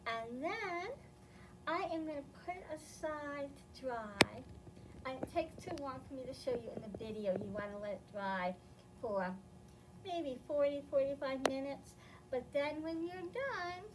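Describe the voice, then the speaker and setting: Slow-paced, higher-voiced American woman presenter. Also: noisy background. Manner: simple explanations addressed to children. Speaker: library storytime presenter. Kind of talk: children's read-aloud and simple instruction. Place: indoor library, seated among bookshelves. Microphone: camera several feet away.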